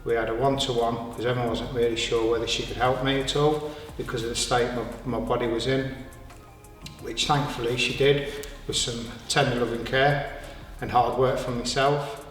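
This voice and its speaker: A man's voice: mid-range voice, flat delivery, normal speed, a bit bright, soft background music. A man in his fifties giving a plain, matter-of-fact testimonial with frequent fillers. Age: 50s. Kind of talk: interview testimonial. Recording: echoey hall, from far off.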